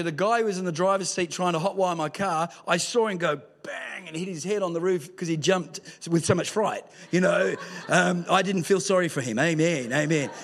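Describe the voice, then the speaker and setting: A man preaching a sermon in a church, mixing scripture with humorous stories and sometimes rising to a shout. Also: high voice. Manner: lively storytelling with humour, rising to shouts. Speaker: pastor. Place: church hall with screen.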